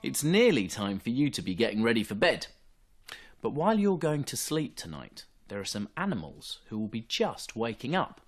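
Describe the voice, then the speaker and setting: A man reads a bedtime tale for small children. His voice has a husky grain and sits at a middle pitch. The delivery is highly expressive, rising and falling widely. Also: moderate pace, soft background music. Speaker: bedtime story reader.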